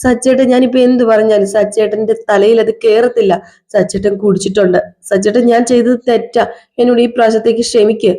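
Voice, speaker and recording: A woman's voice: fairly even intonation, fast talker, dark tone, close to an everyday voice. Woman playing a pleading young wife. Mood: apologetic. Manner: pleading, repeats husband's name, rises in pitch. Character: pleading young wife, tearful, devoted to family. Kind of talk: one-person domestic drama skit.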